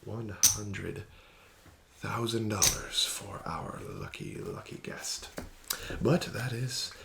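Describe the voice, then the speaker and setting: A man doing an ASMR roleplay as a casino card dealer, talking slowly and softly with smug, teasing patter that slips into whispers. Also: sits low, creaky. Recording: audible background noise.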